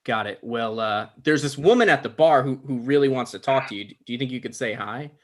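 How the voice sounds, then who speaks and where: A man playing an awkward, thin-skinned, lonely show host in a comedy table read, halting and defensive. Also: mid-range voice, natural voice. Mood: flustered.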